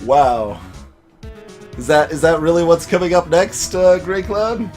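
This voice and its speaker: A man gives casual, joking commentary as a let's play host. His voice is slightly high and very animated, with faint music underneath. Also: measured pace.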